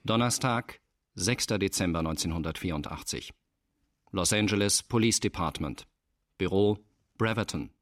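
Brief: male actor as sober scene announcer for radio crime drama, very low voice, scripted narration. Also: moderate pace, natural voice. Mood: matter-of-fact.

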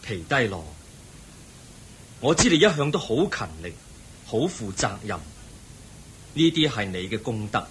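Calm patient buddha, hk cantonese accent, gentle teacher, hissy old recording. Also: male voice, mid-range voice, a little slow, pauses often. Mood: admonishing. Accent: Hong Kong Cantonese. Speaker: voice actor. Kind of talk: Cantonese radio drama acting.

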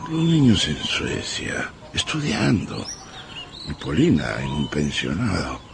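An actor playing an aging, lovesick general in a radio drama, anxious and pleading with a halting, dramatic delivery. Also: male voice, very deep voice, huge pitch swings, creaky, background noise, exaggerated voice. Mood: pleading, self-important. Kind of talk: Spanish-language radio drama acting.

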